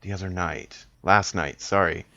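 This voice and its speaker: A man's voice: deep voice, measured pace, gravelly, bright, forceful tone, lo-fi sound. A man improvising as a player in a tabletop roleplaying game, moving between in-character speech and game chat.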